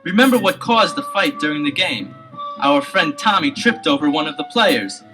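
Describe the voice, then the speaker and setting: An actor playing an earnest, clever teenage boy detective in a scripted audio adventure, explaining things in a deliberate, dramatic way. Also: a little high, animated, natural voice. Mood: confident, probing. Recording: 1970s LP radio-play with music behind.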